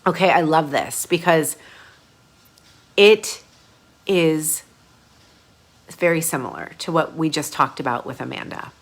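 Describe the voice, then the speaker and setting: A woman brand coach leading a live group coaching session. She talks casually and chattily, with sudden gushing bursts of excitement. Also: deep voice, a little slow, long pauses. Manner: casual, chatty, with frequent fillers.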